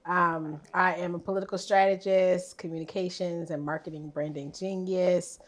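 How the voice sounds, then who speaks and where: A woman's voice: on the low side, steady tone, normal speed, slight background noise. A woman with a Baltimore accent introducing herself confidently as a podcast guest. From Baltimore, USA.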